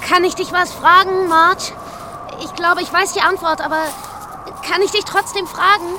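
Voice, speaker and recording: An actress playing a wistful, coaxing young daughter with a dreamy manner in a radio drama. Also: female voice, extremely high pitch, fairly even intonation, moderate pace. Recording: stormy wind noise behind voice.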